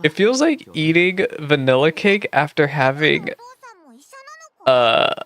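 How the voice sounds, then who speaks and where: A woman livestreaming a game playthrough, chatting casually with viewers in a gushing, expressive way. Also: sits low, slow. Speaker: streamer reacting to a game playthrough. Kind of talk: casual livestream chat and commentary. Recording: game music behind the voice. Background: game music.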